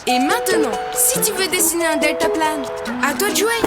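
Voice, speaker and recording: A helpful little cartoon boy with a very high voice. The delivery is confident and reassuring, like a young teacher, over loud music. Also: animated, moderate pace. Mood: instructive. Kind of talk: children's cartoon voice acting.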